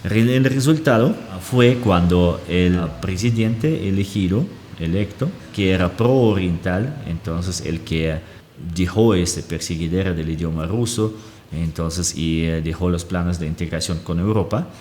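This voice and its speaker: A very low-pitched man speaks, explaining his views at length while hunting for words and checking in with his listener. His voice carries a long reverb tail. A faint hum of background noise sits underneath. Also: a little slow, a little mellow. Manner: searches for words, often checks in with a questioning "¿sí?". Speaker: guest analyst. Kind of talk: explanatory conversation.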